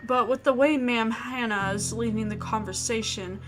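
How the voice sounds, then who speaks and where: A woman's voice: fairly high pitch, fairly even intonation, normal speed. A woman gaming host expressively reading a visual novel's first-person narration aloud and reacting casually. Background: music.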